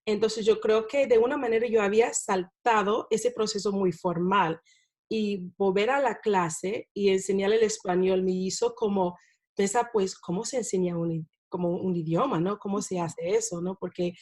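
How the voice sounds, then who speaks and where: A woman, a non-native Spanish speaker, talking as a guest about teaching in a relaxed interview, with many fillers and tag questions. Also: mid-range voice, moderate pace. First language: not Spanish. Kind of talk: casual interview talk.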